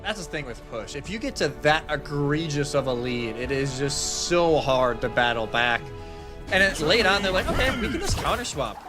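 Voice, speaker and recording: Guy with a highish voice breaking down a game match live, emphatic, clear music behind him. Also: expressive, moderate pace. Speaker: esports analyst caster. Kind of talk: live esports commentary.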